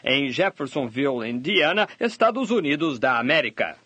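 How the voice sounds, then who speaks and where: A man voicing a sermon translation, delivering it steadily and measured. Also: mid-range voice, sing-song, pushed and bright, slightly rough, no echo, soft background music. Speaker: sermon interpreter voice-over. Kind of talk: translated sermon read aloud.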